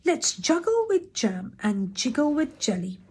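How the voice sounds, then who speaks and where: An excitable little male puddle creature with a tail and paws chatters in rhymes, his voice pitched high and swooping up and down, as a woman puts him on. The sound is soft and breathy, and he takes his time even while he bounces. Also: unhurried, full character voice. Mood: gleeful.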